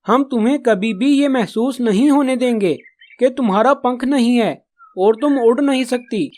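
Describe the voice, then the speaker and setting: A man's voice: very high-pitched, normal speed, darkish tone. Man voicing an earnest, caring cartoon pigeon. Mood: sympathetic, admiring. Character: caring, responsible male pigeon, elder brother of the birds. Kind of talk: Hindi children's cartoon dialogue.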